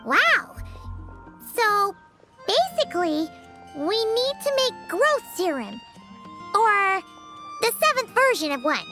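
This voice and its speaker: An extremely high, squeaky fairy child, eager and full of questions. The voice swoops up and down in animated video game style. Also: female voice, takes it slowly, pauses often, music in the background, heavily acted. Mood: curious. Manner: exclaiming, eager, inquisitive.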